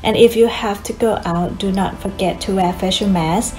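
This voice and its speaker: A woman's voice: medium pitch, fairly even intonation, moderate pace, music in the background, low-quality recording. A woman from Thailand, a doctor, explaining plainly how she stays home and avoids spreading the virus, in a home-recorded video. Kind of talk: self-recorded video message.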